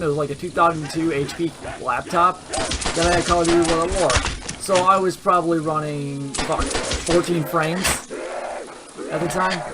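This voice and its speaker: A man's voice: on the high side, noisy background. A young man casually commenting with profanity, mocking his own old videos.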